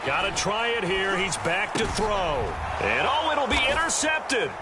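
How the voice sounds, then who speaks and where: A man playing a polished play-by-play football announcer in a video game, with crisp broadcast delivery. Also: sits high, big pitch swings, unhurried, very noisy. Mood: excited. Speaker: play-by-play sports commentator.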